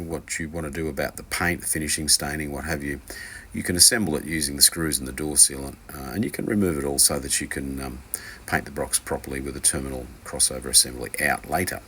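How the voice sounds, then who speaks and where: An extremely low-pitched man with a bright edge to his voice talks through a hands-on task as an instructor. His delivery is informal and unscripted, wandering and hesitant, with dry asides. Faint noise sits behind him. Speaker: instructor from a loudspeaker kit maker.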